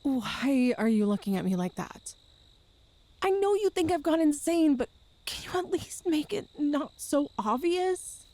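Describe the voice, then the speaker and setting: A woman acting a nervous, tender young girlfriend in an ASMR audio roleplay, speaking haltingly and earnestly. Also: sits high, animated, warm tone. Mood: earnest, pleading. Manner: hesitant, halting, earnest, breathy sighs and nervous laughs.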